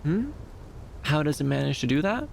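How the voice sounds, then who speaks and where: A man playing a gentle, shy, dreamy young femboy in an ASMR roleplay, soft-spoken and hesitant, soothing, with lilting upturns. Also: on the high side, very animated, moderate pace, gravelly, slight background noise. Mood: calm, welcoming.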